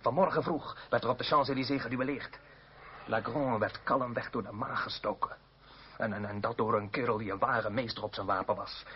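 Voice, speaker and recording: A man's voice: medium pitch, fairly even intonation, a little fast, close to an everyday voice. An actor playing an earnest male politician in a radio drama, explaining and placating, at times hesitant. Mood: indignant. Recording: dull noisy old radio recording.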